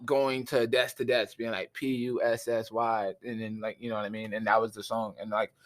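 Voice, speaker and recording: Male rapper guest, 20s, relaxed storyteller. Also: on the low side. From Southern California. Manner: relaxed, laughs easily, drawn-out fillers. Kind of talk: casual storytelling. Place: recording studio.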